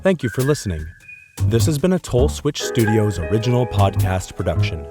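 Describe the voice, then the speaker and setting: Man, announcer, scripted credits read, slightly low pitch, music bed.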